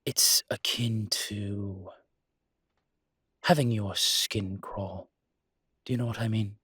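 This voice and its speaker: A man's voice: lowish voice, fairly even intonation, takes it slowly, fairly bright tone, husky, no echo, clean studio sound, exaggerated voice. A man playing a refined incubus demon professor in an intimate audio roleplay, explaining things in a hesitant, genteel and slightly irritated but patient manner. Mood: uneasy, confiding.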